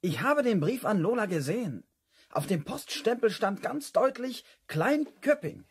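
An actor playing a shady, insistent crook who is sure of himself, in a 1970s German radio play. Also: male voice, sits high, sing-song, unhurried, husky, full character voice.

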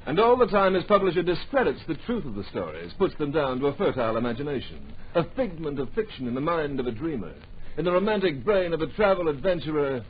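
An actor playing a dramatic, authoritative narrator in an old radio drama, telling the story in clipped present tense. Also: male voice, a little high, sing-song, fast. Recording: muffled, noisy old radio recording.

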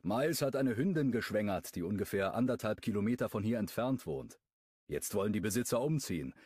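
A man's voice: lowish voice, animated, fairly quick, darkish tone, husky, dry recording, studio quality. A man voicing an exasperated young man who grumbles about his unruly dog.

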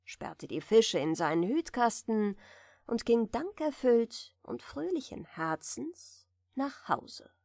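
A woman narrates a bedtime fairy tale in a soft, soothing way. Her voice sits a little high and moves with lively melody, at a very unhurried pace. She reads gently, as if easing a listener to sleep. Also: creaky, dry recording, clean studio sound. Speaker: audiobook reader.

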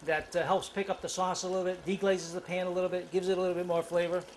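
A man's voice: sits high, little pitch movement, fast, slight background noise. A middle-aged man hosting a home cooking show, demonstrating a dish in his kitchen with enthusiasm and plenty of fillers. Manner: enthusiastic, talks while cooking, many fillers.

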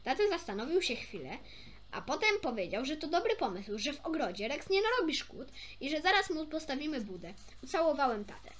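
A young woman with a high voice reads a children's story aloud. Her intonation moves a lot and her delivery is informal. She stumbles a little and slips into chatty asides. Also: sing-song, fairly quick, a little hiss or hum. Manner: informal, stumbles over words and self-corrects. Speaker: amateur storybook reader.

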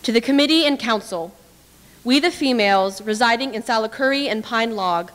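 Young woman, fairly high pitch, earnest formal read-aloud, podium mic, hall reverb. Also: moderate pace, fairly bright tone. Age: young adult. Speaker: dramatic reader. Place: indoor hall with brick walls, small audience. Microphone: podium microphone.

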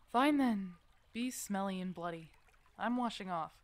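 A woman's voice: mid-range voice, expressive, slow, dry recording. A woman playing a protective, teasing werewolf mentor in an ASMR roleplay, casual and bossy but affectionate. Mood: teasing, coaxing. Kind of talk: ASMR roleplay audio drama addressed to the listener.

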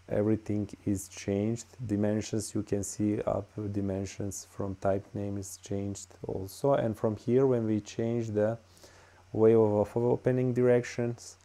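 A man with a Bosnian accent talks through a screen-recorded tutorial, speaking English as a second language. His voice is low and dark, and his delivery is slow and halting, full of fillers. It sounds like a plain desktop-mic voice-over. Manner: halting, many "uh" and "all right" fillers. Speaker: tutorial host.

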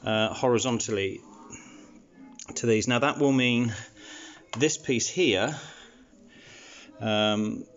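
A man's voice: medium pitch, slow, long pauses, lo-fi sound. A man casually explaining a home renovation job step by step, with frequent fillers. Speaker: DIY renovation vlogger. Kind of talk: casual how-to narration. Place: house under renovation.

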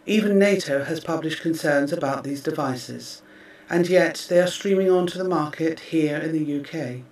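A man with a fairly high-pitched voice delivers scripted narration in a measured, formal manner. The recording is clearly echoey, and he sounds a little away from the microphone. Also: darkish tone. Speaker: narrator reading a press release. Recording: roomy, distant microphone.

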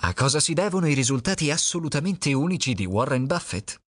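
A man reading a scripted narration that presents an audiobook, in a measured, steady way. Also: a little high, husky, dry recording, clean studio sound.

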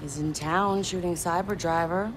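A woman's voice: fairly low pitch, steady tone, moderate pace, close to an everyday voice. A young woman playing a brash, defiant hacker activist in a game cutscene, blunt and determined. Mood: scheming, scornful. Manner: blunt, foul-mouthed, determined. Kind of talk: video game cutscene acting. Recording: noisy ambient game scene.

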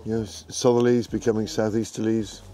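Man, low voice, relaxed rambling, outdoors at night. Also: brisk, a little hiss or hum. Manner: easygoing, rambling with fillers. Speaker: yacht sailor. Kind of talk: post-race interview.

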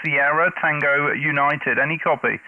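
A man's voice: a little high, fairly even intonation, normal speed, narrow, tinny audio, noisy background. A man making ham radio contacts on a headset mic, spelling out callsigns phonetically. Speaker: amateur radio operator, streamer. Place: small indoor room at home. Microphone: headset boom mic.

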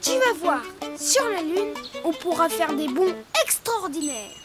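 A performer voicing a cheerful young rabbit boy in a preschool cartoon, lively and encouraging as they teach drawing to young viewers. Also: very high voice, measured pace, loud music behind the voice, full character voice. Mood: eager.

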